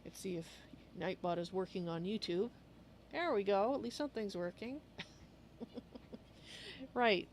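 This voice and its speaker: A woman hosting a livestream, chatting casually in a halting, explanatory way. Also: medium pitch, darkish tone, a little hiss or hum. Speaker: livestream host.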